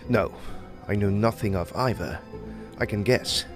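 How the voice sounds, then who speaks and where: An actor playing a learned, secretive explorer of Egypt in an audio drama, formal and emphatic in manner. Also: male voice, lowish voice, measured pace, over music. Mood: grave, guarded.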